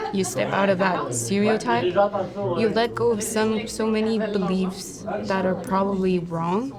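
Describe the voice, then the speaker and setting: A woman speaking in casual conversation. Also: medium pitch. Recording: loud crowd around the voice. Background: loud crowd chatter.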